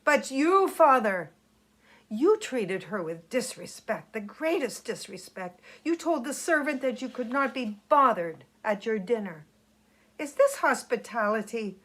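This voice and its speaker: Woman reading as an indignant boy, slow and emphatic. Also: fairly high pitch, very animated, a bit bright, heavily acted, low-quality recording. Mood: reproachful. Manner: earnest, emphatic, reproachful. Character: indignant young boy scolding his proud father.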